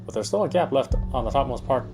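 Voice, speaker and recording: Man, medium pitch, dramatic horror read-aloud, occasional stumbles and repeats, music in background. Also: animated, fast, creaky. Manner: stumbles over words and rereads phrases. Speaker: game player reading aloud.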